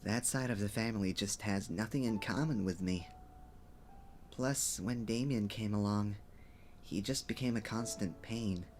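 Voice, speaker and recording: A man voicing a gentle, lonely young cursed character in an animated fan dub, hesitant and apologetic as he confides. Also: fairly low pitch, unhurried, slight background noise, natural voice. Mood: sad, apologetic. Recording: music playing behind the voice.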